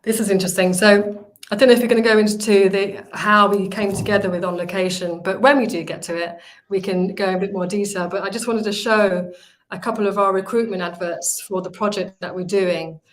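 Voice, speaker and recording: A woman's voice: mid-range voice, steady tone, a little fast, low-quality recording. A woman, presenting her recruitment company on a video call, talking freely with many fillers and restarts.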